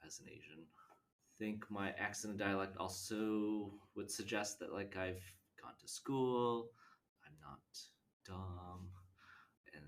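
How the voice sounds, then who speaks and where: A man from Canada answering interview questions in a halting, thoughtful way. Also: sits low, a bit bright, a little hiss or hum. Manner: halting, thoughtful, many restarts.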